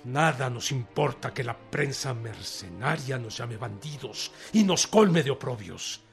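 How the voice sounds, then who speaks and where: An actor playing a resolute, defiant Mexican revolutionary leader, declaiming emphatically in a radio drama. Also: male voice, medium pitch, animated, measured pace, creaky. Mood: indignant, defiant. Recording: music behind the voice. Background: music.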